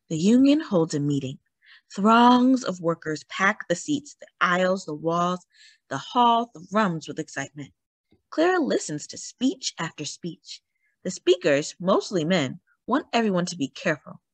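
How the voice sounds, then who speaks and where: Lady doing a kids read aloud, really animated, dramatic pauses, close dry sound. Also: on the low side, no echo. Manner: dramatic, emphatic storytelling with deliberate pauses. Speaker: children's read-aloud story host. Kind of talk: expressive picture book narration.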